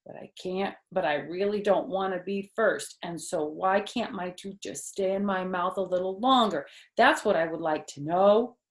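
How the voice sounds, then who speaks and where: A woman voicing an excitable, sassy first-grade girl in a children's storybook, with emphatic delivery and childish grammar. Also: fairly low pitch, normal speed, few pauses, roomy sound, lightly acted. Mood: stubborn. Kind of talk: children's storybook read-aloud.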